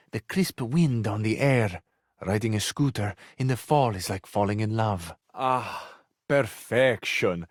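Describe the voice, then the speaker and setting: An actor playing a romantic Italian lover in a video game, with an Italian accent and a flowery, courtly, tenderly flirtatious manner. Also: male voice, on the low side, no echo, clean studio sound. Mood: wistful, rapturous. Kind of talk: video game character acting, romantic dialogue.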